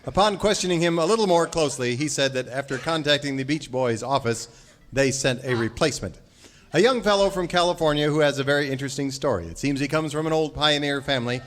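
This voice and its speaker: A man's voice: a little high, fast talker, fairly bright tone. A man hosting a live radio variety show in a folksy old-time style, playing the polite straight man. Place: on stage before a live audience.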